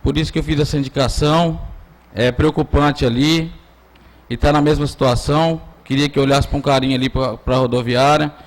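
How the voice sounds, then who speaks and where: A man's voice: a little high, steady tone, normal speed. A Brazilian man speaking as a city councillor in a council session, giving an informal political speech in plain colloquial words.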